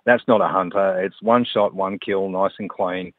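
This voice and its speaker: Low, solemn man on a phone line. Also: fairly even intonation, no room sound. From New Zealand. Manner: halting, solemn, insistent. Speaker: radio phone-in caller. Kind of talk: casual anecdote telling. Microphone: telephone.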